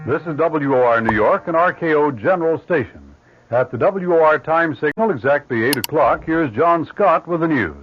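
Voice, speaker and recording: A man's voice: a little high, normal speed. A man reading formal continuity announcements as a radio station announcer. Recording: dull, noisy radio broadcast recording.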